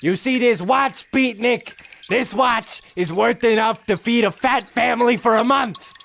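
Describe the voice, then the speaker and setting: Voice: mid-range voice, measured pace, vocal fry, fairly bright tone. A performer playing a pompous, easily offended husband in a comedic horror radio play, melodramatic in manner. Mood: indignant.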